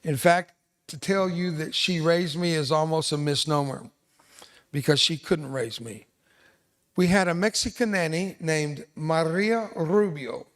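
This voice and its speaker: A man's voice: fairly high pitch, a little slow. An older man from South Texas whose first language was Spanish, giving an emphatic motivational speech to an audience and repeating his key lines. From South Texas, USA. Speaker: veteran speaker, resiliency trainer.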